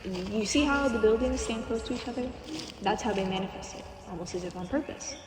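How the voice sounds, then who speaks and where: A woman's voice: mid-range voice, fairly quick, natural voice. A woman playing a playful, foul-mouthed undead guide in an audio roleplay. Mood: explanatory. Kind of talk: audio roleplay acting, conversational. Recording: echoey reverberant space with clear noise.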